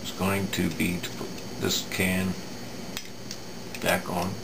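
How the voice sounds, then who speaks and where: A middle-aged man giving an unscripted hands-on repair demonstration in a halting, matter-of-fact way, recorded at an indoor workbench. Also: low-pitched, gravelly. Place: indoor home electronics workbench. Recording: steady room hum, air-conditioning noise.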